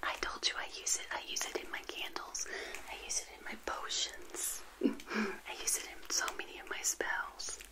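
An older woman whispering a gentle, playful ASMR roleplay as a witch hostess, speaking straight to the listener in a breathy voice. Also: normal speed.

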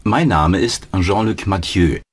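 A man voicing an adult male student and announcing lessons in a scripted learner dialogue, with a measured, plain delivery. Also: low voice, slightly rough.

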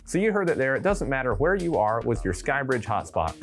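A man's voice: medium pitch, sing-song, fairly quick, soft background music. A man in his twenties giving a casual product demo and chatting on a handheld radio, recorded indoors on a lapel mic. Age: 20s. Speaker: presenter demonstrating ham radio gear. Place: indoor studio with wood-panel backdrop.

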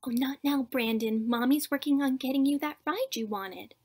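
Woman acting indignant cartoon mother, high voice, pushy, huffy, condescending, entitled. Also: moderate pace, a little mellow, husky. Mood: bossy, condescending. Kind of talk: animated web cartoon acting.

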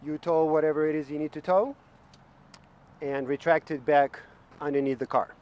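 A man's voice: fairly high pitch, fairly even intonation, moderate pace, muffled recording. A man working as a car dealership product specialist, walking viewers through a car's features from inside it, with plenty of ums and restarts. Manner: explains features with frequent fillers and restarts. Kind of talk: car walkthrough video. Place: inside a car in a parking lot.

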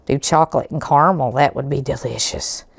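A middle-aged woman with a hoarse, gravelly voice from a cold walks viewers through a recipe at her kitchen counter. Her voice sits low and the sound is close and dry. She talks in a homey, folksy, chatty way. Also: fairly quick, no room sound. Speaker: cooking show host. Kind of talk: home cooking demonstration. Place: home kitchen, indoors.

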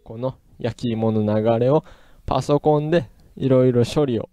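A man's voice: mid-range voice, normal speed, dark tone. A man presenting an explanatory tutorial. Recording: music under the voice. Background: music.

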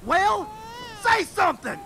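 A man plays a frantic, devoted husband in a horror audio drama. He is agitated and stammering, with lively swings of pitch, and rain noise sits behind him. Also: takes it slowly, creaky, full character voice. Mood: angry, panicked. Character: frantic, pleading husband devoted to his wife. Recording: rainy storm noise behind.

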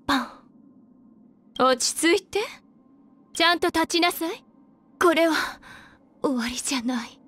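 A woman's voice: high-pitched, a bit bright. An actress playing an elegant, composed female assassin in a video game, poised and coquettish with a cold menace. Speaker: game character voice actor. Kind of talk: scripted Japanese video game character voice lines. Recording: clean studio voice over game music.

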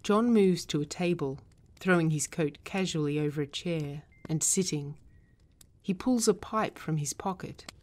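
A woman reading stage directions as scripted narration, in a measured, descriptive manner. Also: low-pitched, a little mellow.

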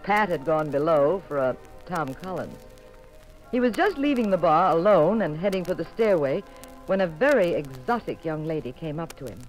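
A woman's voice: low voice, lively intonation, normal speed, music in the background, rough recording. An actress playing a detective's wife who wryly narrates her adventure in a 1950s radio mystery. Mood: wry storytelling. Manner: wry, storytelling.

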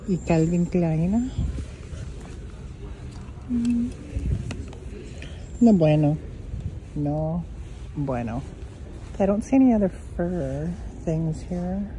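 A woman's voice: fairly low pitch, lively intonation, slow, pauses often, dark tone, a little hiss or hum, poor audio. A woman vlogger chatting casually to herself as she browses a large thrift store, filmed on a handheld phone. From Canada. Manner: chatty, musing aloud while browsing. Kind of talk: casual thrift shopping commentary. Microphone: handheld phone camera. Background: background music.

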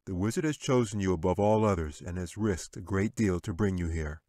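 A man's voice: low-pitched, warm tone, no echo, studio quality, close to an everyday voice. A man doing a solemn wise angel. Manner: measured solemn delivery. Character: calm wise male angel, solemn and gentle. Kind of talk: audiobook character reading.

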